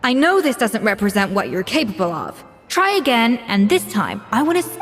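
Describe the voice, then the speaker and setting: A woman's voice: fairly high pitch, big pitch swings, fairly quick, a bit bright, in a large hall, faint music behind. A woman playing an exacting, proud master chef in an anime game, with a stern, formal manner. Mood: stern, critical.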